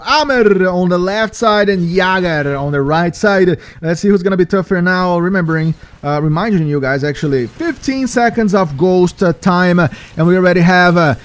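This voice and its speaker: Energetic Brazilian man casting esports. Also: sits high. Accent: Brazilian Portuguese. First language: Brazilian Portuguese. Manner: energetic, chatty, hypes the audience. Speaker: esports caster. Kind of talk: live esports commentary.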